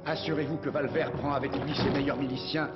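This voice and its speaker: A man's voice: medium pitch, fairly even intonation, brisk, lightly acted. A man voicing a smug, ruthless, imperious villain overlord in a French-dubbed cartoon. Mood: cold, commanding. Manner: pompous, imperious. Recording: dull lo-fi TV audio, loud music.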